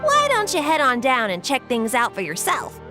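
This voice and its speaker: A woman's voice: high-pitched, huge pitch swings, normal speed, over loud music. A woman voicing a chipper young female staffer in a video game. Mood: explanatory. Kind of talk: video game character dialogue.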